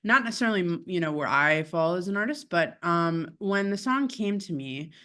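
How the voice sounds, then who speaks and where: A woman with a low voice, a guest musician in a casual interview, chatty and laughing often with many fillers. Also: expressive, moderate pace, bright, forceful tone.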